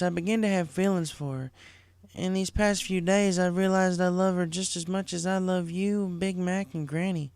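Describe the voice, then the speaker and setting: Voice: mid-range voice, darkish tone, clear, resonant tone, no room sound, clean studio sound, pitched above the performer's own voice, lightly acted. A performer voicing an earnest, troubled young female farm pony in a story reading.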